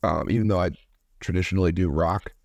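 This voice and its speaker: A man hosting a podcast, a musician with a baritone voice, talking in a relaxed, frank way. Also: fast, vocal fry, no room sound. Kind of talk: casual podcast conversation.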